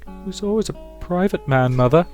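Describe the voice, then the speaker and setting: A man voicing a grieving young woman in a visual novel, protesting haltingly. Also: high-pitched, expressive, a little mellow, voice pushed up. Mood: defensive, sad. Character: grieving young woman defending her friend. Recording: music behind the voice.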